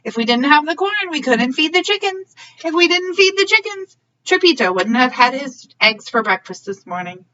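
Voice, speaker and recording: A woman's voice: sits high, big pitch swings, moderate pace, few pauses, sharp tone, dull audio. A woman hosting a storybook read-aloud for young children, asking questions and explaining as she goes. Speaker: early learning read-aloud host.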